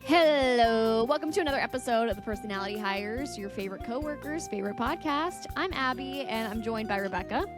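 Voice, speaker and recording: A woman's voice: on the high side, a little fast, few pauses, music in the background. A woman hosting a podcast, talking casually with her co-hosts. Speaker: podcast host. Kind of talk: casual group chat.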